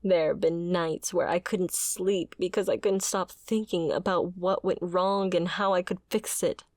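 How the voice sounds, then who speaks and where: A fiery female mercenary, acted by a woman for a dramatic ASMR roleplay, speaks slowly and confrontationally. She is a wounded former bodyguard with a sardonic, bitter edge and a slightly low voice. The sound is close and very dry. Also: fairly even intonation, darkish tone, dry recording. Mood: pained, insistent.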